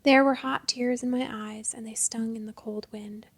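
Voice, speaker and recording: A woman narrating a literary short story in a measured, expressive storytelling manner. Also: a little high, creaky.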